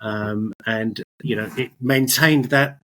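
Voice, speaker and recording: A man's voice: fairly low pitch, steady tone, slow pace, sharp tone. A middle-aged man chatting as an interview guest, telling his story in a hesitant, rambling, self-correcting way. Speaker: podcast guest, business owner.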